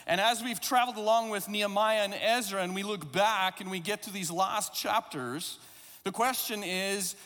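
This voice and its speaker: South African man preaching, high voice. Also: fairly bright tone, long reverb tail. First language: not English. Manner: reflective, conversational preaching with occasional self-deprecating asides. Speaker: pastor. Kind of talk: sermon.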